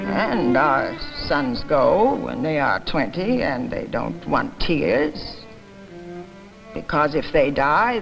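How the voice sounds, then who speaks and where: A woman voicing a fat older father in a dramatic reading, bitterly defiant, with an emphatic, rhetorical and halting delivery. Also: lowish voice, rough recording.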